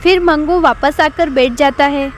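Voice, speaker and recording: A woman giving scripted narration for an animated horror story. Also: high-pitched, a little slow, slight background noise.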